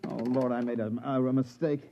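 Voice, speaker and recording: A man's voice: medium pitch, little pitch movement, fairly quick, a little hiss or hum, natural voice. An American actor playing a harried, pleading husband and repairman who stammers, in 1950s radio drama style. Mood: panicked. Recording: muffled vintage radio recording.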